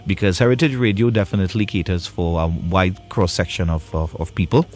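A man with a low-pitched voice hosts a radio interview. His phrasing is conversational and halting, feeling its way from point to point. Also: fairly even intonation, darkish tone, soft background music. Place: radio studio.

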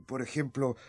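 An actor playing a blustery, hot-headed male disciple in a colloquial Latin American radio drama, husky and prone to stammering. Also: lowish voice, fairly bright tone, exaggerated voice. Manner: blustering, stammering when flustered.

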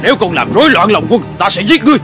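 A harsh, impatient officer in a cartoon war epic. He scolds brusquely in a high, quick voice, with loud music underneath. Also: very animated. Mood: angry, dismissive. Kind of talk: Vietnamese TV dub of an animated historical war epic. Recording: loud dramatic music behind.

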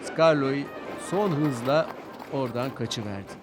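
A man's voice: mid-range voice, takes it slowly. A man narrating a scripted children's story with dramatic storytelling delivery. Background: music.

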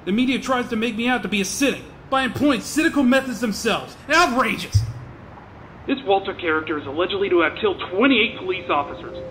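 A man's voice: sits high, animated, fairly quick, a bit bright, a little hiss or hum, low-quality recording. A man playing a pompous, indignant mayor who orates like a grandstanding politician in a comic-book audio drama. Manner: oratorical.

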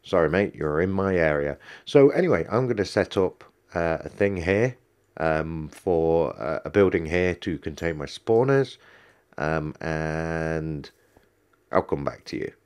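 A man's voice: a bass voice, animated, measured pace, a little mellow, clear, resonant tone. A man with a cold and a stuffy nose, rambling through casual gameplay commentary with many ums and restarts. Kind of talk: casual let's-play commentary.

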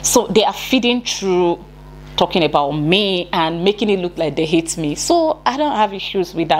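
Low-pitched, emphatic Nigerian woman. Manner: emphatic, repetitive, defiant. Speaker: YouTuber. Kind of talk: opinionated talking-head vlog. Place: indoor room at home.

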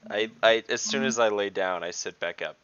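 A man's voice: lowish voice, moderate pace, fairly bright tone, clear, resonant tone. A man playing a tabletop game on a video call, wry and joking. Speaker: player. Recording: dull lo-fi call audio.

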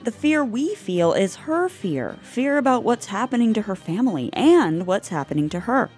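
Lively woman narrating a video essay. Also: fairly high pitch, normal speed, soft background music. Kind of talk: scripted narration.

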